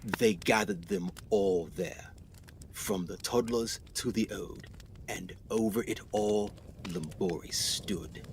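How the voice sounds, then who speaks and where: A man acting in a radio play as an earnest, excitable explorer doctor, speaking formally with dramatic pauses. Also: fairly low pitch, creaky, a little hiss or hum, heavily acted. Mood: haunted, hushed.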